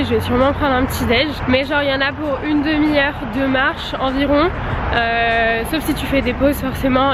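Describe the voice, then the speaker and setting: High-voiced young woman vlogging on a loud street. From Paris, France. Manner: chatty, excited, heavy youth slang. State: has a head cold. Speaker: travel vlogger. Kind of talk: casual vlog talking to camera. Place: outdoors on busy city streets. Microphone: handheld camera mic. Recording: loud traffic and street noise.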